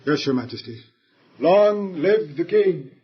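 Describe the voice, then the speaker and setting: An actor playing an obsequious, scheming Egyptian prince, formal and deferential, in an audio Bible drama. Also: male voice, a little high, animated, a little slow, husky, muffled recording, exaggerated voice. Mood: obedient.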